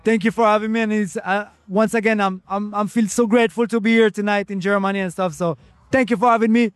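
Male interview guest, French accent, high register, very dry sound, courteous conversational delivery. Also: steady tone, fast, clear, resonant tone, no room sound. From France. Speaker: interview guest, reggae singer. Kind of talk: casual interview chat.

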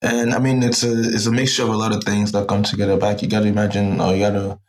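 Man from Nigeria, musician guest, monotone low voice, faint noise behind. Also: fast. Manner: thoughtful, with many "you know" fillers. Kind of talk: interview answers. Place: live music event.

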